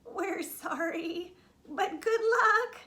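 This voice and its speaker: A woman voicing friendly little farm lambs with loud, drawn-out bleating speech, for a children's story. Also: extremely high pitch, echoey room, voice pushed up, heavily acted. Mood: apologetic, friendly.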